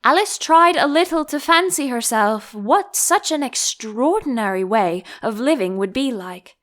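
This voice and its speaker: Slow, expressive woman narrating a storybook. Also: fairly high pitch. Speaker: audiobook narrator.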